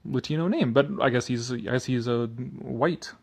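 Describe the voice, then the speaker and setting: A man's voice: mid-range voice, normal speed, creaky, a little mellow. A man giving a sardonic commentary and theatrical reading of an article, drifting into rambling asides.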